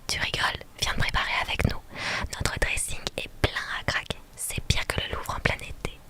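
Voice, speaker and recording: A woman's voice: normal speed, sharp tone, close to an everyday voice. A woman voicing a bubbly, friendly, chatty young woman from a story game in a whisper. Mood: playful, inviting.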